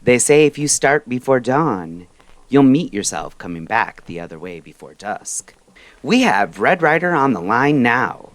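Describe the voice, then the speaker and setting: A performer voicing a late-night radio host who announces in a measured, ominous, formal way. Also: medium pitch, sing-song, dry recording. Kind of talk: scripted radio announcer narration.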